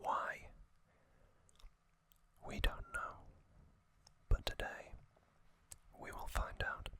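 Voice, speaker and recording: An ASMR host whispering trivia, softly repeating single words as triggers and reading from a box cover. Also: extremely slow. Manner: reads aloud from a box cover.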